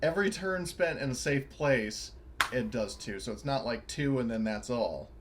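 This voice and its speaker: A man's voice: mid-range voice, moderate pace. A man playing a tabletop roleplaying game, chatting casually as a player. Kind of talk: casual chat. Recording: noticeable background noise with clicks.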